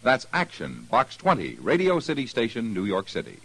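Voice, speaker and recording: A man's voice: mid-range voice, huge pitch swings, moderate pace, creaky, fairly bright tone, slightly rough. An American man reading scripted announcements in the style of a 1950s radio announcer.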